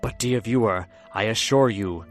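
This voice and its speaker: A man's voice: lowish voice, moderate pace, faint music behind, natural voice. Man acting as ominous horror-tale narrator. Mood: knowing, teasing. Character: ominous storyteller addressing his viewers.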